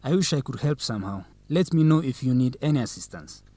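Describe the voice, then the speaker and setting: A man's voice: medium pitch, lively intonation, fast, mellow, dry recording, close to an everyday voice. Man acting as earnest young student, close dry sound. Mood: polite, curious. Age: young adult. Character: earnest, courteous young male student council member. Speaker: radio drama actor. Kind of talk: scripted English-learning radio drama.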